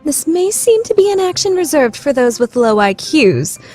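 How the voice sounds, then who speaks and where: Female actor for proud teen vice president, anime audio drama: high, formal, scheming, very animated, music underneath. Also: moderate pace. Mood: scheming, composed. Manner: formal, calculating, theatrical. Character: proud scheming teenage girl, refined student council vice president. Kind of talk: anime fan dub radio play acting.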